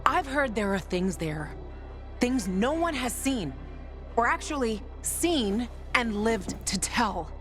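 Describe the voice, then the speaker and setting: A woman's voice: fairly high pitch, very animated, slow pace, a bit bright, faint music behind, full character voice. An actress playing a tough, protective, foul-mouthed young woman survivor in a video game, blunt and urgent. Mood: worried pleading.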